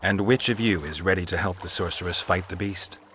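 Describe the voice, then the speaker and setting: A man voicing a gruff, stoic monster hunter in a video game, terse and matter-of-fact, with a gravelly voice. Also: low voice, fairly quick, a bit bright, slight background noise. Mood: dry, businesslike.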